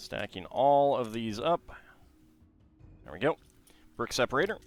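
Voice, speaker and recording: Streamer guy, mid-range voice, easygoing build-stream chatter over soft background music. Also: medium pitch, lively intonation, a little slow. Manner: chatty, reads facts aloud, then talks to chat. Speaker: streamer building a Lego set. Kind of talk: casual livestream chat while building, reading aloud.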